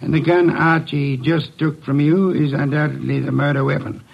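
An actor playing a commanding, fussy older genius detective with formal, precise diction, in old-time radio mystery style. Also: male voice, fairly high pitch, little pitch movement, moderate pace, gravelly, breathy edge. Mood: grave, declarative. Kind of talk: 1940s-50s radio mystery acting. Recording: dull old radio recording.

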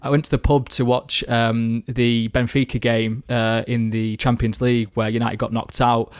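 A man's voice: medium pitch, flat delivery, normal speed, clear, resonant tone, dry recording, studio quality. A young man from the Manchester area chatting casually about football, rambling with lots of fillers and restarts. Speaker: football podcast guest. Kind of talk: casual chat.

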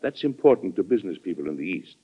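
An actor playing a measured, conciliatory president in an old radio drama, on a tinny vintage recording. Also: male voice, low voice, big pitch swings, slightly rough, heavily acted. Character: calm, conciliatory male president. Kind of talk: 1940s radio drama acting.

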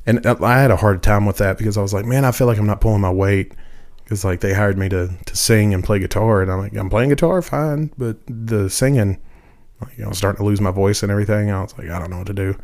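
Laid-back man, lowish voice. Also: quick pace, darkish tone. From Nashville, Tennessee. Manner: easygoing, self-deprecating, says "man" often. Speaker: guest musician. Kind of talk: casual podcast chat.

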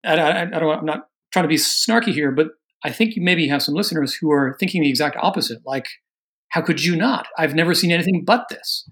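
Podcast guest, man from Oregon, earnest, careful explainer, somewhat high voice. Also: a bit bright. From Oregon, USA. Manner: measured, earnest, carefully qualifying. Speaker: guest author on religion and politics. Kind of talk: podcast interview answers.

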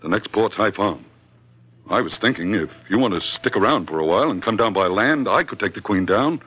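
Blustery first-mate type, lowish voice, very animated, earnest, old radio drama, muffled sound. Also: male voice, brisk, breathy edge. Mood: confiding, urgent. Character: earnest, blustery male sailor, loyal second to the skipper. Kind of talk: 1940s-50s radio adventure acting. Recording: dull old radio recording.

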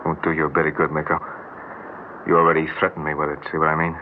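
Slow earnest young boxer, american, really deep voice, old radio drama, thin tinny sound. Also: male voice, completely flat delivery, a little hiss or hum. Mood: calm menace. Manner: halting, plain-spoken, deliberate. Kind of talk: 1960s radio drama acting.

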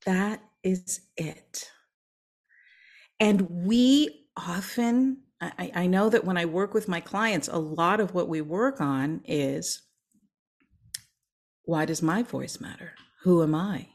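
A woman who sings opera and coaches others tells her story in an interview. She speaks slowly and in a fairly low voice, earnest and emphatic. On her key lines she drops to a whisper. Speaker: guest, opera singer and coach.

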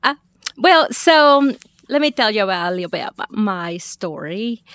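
A woman's voice: medium pitch, lively intonation, normal speed, fairly bright tone, smooth, no echo, studio quality. A woman from Mexico with a Mexican Spanish accent, a podcast guest in casual chat who laughs easily.

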